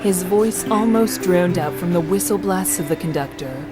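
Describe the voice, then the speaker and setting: A woman's voice: on the low side, normal speed. A woman reading scripted story narration for a visual novel. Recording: loud music behind the voice. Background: music.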